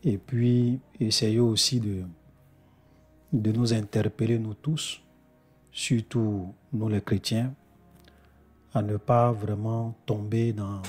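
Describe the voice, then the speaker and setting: A man's voice: lowish voice, little pitch movement, takes it slowly, long pauses, darkish tone, husky, soft background music. A man with a cold preaching to his brothers and sisters in an earnest spoken sermon, often restarting his phrases. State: congested with a cold.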